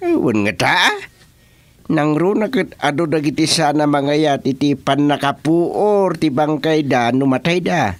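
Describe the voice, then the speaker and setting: An actor playing an animated, teasing village man with an Ilocano accent in a comedy radio drama, given to exclamations. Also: on the high side, a little slow, no room sound, lightly acted. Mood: startled, teasing.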